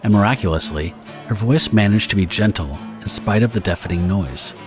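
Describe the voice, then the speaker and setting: A man's voice: lowish voice, fairly quick. A man narrating a pulp horror audio drama from a script. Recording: guitar music behind the narration. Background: plucked guitar music.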